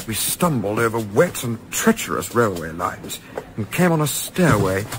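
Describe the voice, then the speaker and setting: An actor playing a fastidious, weary gentleman in a radio play, with a measured, formal, literary way of speaking. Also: mid-range voice. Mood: wry, put-upon. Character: fastidious, weary English gentleman narrator, reluctant holidaymaker. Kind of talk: radio play narration and dialogue. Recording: clearly noisy, ambient sound behind.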